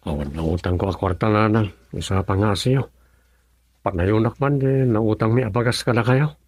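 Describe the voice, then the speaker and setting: An actor playing a poor, devoted husband who pleads and defends himself in an Ilocano radio drama, with a gravelly, husky voice. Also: male voice, fairly low pitch, normal speed, a little mellow, no echo. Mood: pleading.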